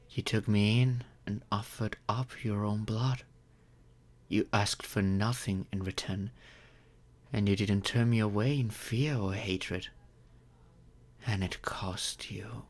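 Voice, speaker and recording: Courteous male vampire voiced by a man for ASMR audio drama: lowish pitch, very unhurried delivery, tender, grateful and gently formal. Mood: solemn, grateful. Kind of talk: slow intimate ASMR roleplay monologue.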